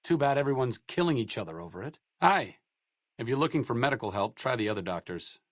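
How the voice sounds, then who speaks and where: A man's voice: medium pitch, animated, quick pace, dry recording, close to an everyday voice. An actor playing a dry, sardonic male scientist companion in a video game. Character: wry, educated male scientist companion in a wasteland. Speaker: companion character.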